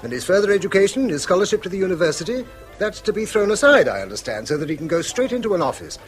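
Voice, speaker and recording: A man's voice: sits high, sing-song, fast talker, soft background music. An actor playing a proud, disapproving middle-aged English schoolmaster father, speaking formally in a period radio drama. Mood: angry, indignant. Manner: formal, clipped, reproachful.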